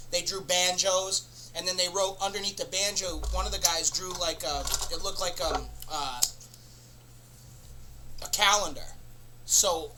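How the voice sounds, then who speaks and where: A man hosting a comedy show, rambling through an absurd, profane monologue in a deadpan way. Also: high voice, a little hiss or hum, low-quality recording. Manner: rambling, profane, deadpan nonsense with sudden bursts of laughter.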